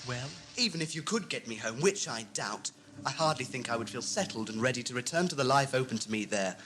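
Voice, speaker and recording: A man's voice: mid-range voice, expressive, a little fast, noisy background, lightly acted. A man acting a glum, sardonic young companion in an audio drama, sighing and sarcastic. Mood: glum, troubled. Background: faint music.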